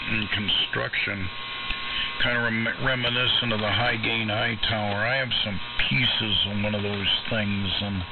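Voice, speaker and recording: Slow, low-voiced older American on noisy radio. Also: male voice, steady tone. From United States. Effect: shortwave AM radio transmission. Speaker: amateur radio operator. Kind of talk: casual, salty ham radio chat. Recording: distant, muffled AM radio, loud hum and noise. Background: loud static and electrical hum.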